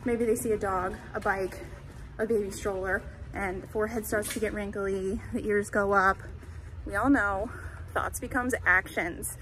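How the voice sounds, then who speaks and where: A woman dog trainer explaining training methods in a clear, conversational way while walking a dog outdoors by a creek in a town center. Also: mid-range voice, fairly even intonation, moderate pace, fairly bright tone, low-quality recording. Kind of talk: instructional walk-and-talk. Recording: outdoor street noise.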